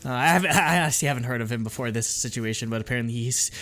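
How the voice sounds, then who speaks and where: Emphatic animated male podcast co-host. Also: mid-range voice, very fast. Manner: emphatic and animated, swears, talks over others. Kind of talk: casual music review chat.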